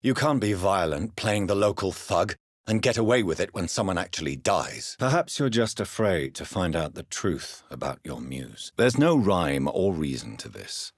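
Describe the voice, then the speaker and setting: An actor playing a grave, refined British doctor turned vampire in a video game, speaking formally with measured, precise diction. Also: male voice, sits low, very animated, no echo, studio quality, exaggerated voice. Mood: stern. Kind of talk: video game character dialogue.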